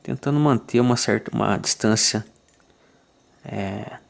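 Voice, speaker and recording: A man with a somewhat low voice talks through a fly tying tutorial in a calm, methodical way. He is from Brazil and keeps checking in with his viewers after each step, as if sitting at his own tying bench. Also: measured pace, vocal fry. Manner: calm, methodical, ends phrases with "tá?".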